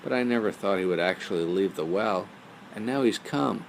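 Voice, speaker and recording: Man playing young storybook princess, eager, anxious, spoiled, creaky edge, faint noise behind. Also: sits low, normal speed, poor audio. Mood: upset explaining.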